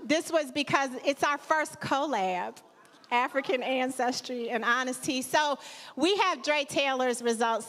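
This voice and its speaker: A woman with a high voice hosts a live celebration in a chatty, playful way, joking with the crowd and people around her. The hall gives her voice a long, ringing reverb. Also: a bit bright. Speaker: event host. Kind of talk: live event hosting. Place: theater stage.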